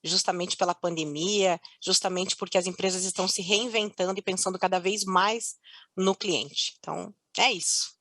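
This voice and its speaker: A woman's voice: lowish voice, fairly even intonation, moderate pace, fairly bright tone, dry recording. A woman in her forties from Curitiba with a Curitiba accent, a customer success head speaking on an online panel, chatty and upbeat, laughing easily. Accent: Curitiba Portuguese. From Curitiba, Brazil. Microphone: video call.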